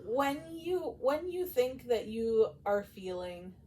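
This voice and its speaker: A woman's voice: a little high, takes it slowly. Emphatic woman podcaster over background noise. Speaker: podcast co-host. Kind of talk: casual conversational advice talk. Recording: clear steady background noise.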